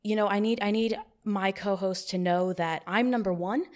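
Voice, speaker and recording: A woman podcast host running a mock-stern job interview in a deadpan manner, recorded in an echoey new studio. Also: mid-range voice, moderate pace.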